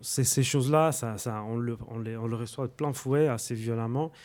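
A young Italian man with an Italian accent, a musician answering interview questions in a halting way, full of fillers and restarts. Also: mid-range voice, darkish tone. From Italy. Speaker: musician guest.

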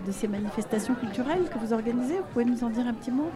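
Woman, host, higher voice, lively questioning, crowd noise. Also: racing. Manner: lively, curious questioning, laughs often. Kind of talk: live event interview. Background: crowd chatter.

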